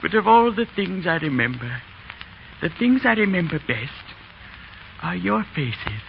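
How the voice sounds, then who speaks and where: An actor playing an elderly English schoolmaster, fond, donnish and gently humorous, speaking haltingly in a 1930s radio drama. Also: male voice, high-pitched, very animated, creaky, lo-fi sound, full character voice. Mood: tender. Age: old man. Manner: halting, chuckling, sentimental old-man delivery. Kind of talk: 1930s radio drama acting, farewell speech. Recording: noisy vintage 1930s radio broadcast.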